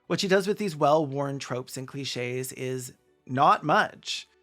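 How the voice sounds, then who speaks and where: A man's voice: medium pitch, takes it slowly, sharp tone, faint music behind. A man delivering scripted commentary as a video essayist, sardonic and emphatic, with casual asides. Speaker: video essayist, channel host.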